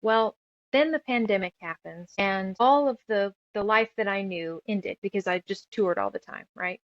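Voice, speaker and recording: A woman's voice: mid-range voice, normal speed, no echo, dull audio. A woman in her thirties telling her story casually as an interview guest, rambling and emphatic, piling up lists as she goes. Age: 30s. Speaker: guest author and musician.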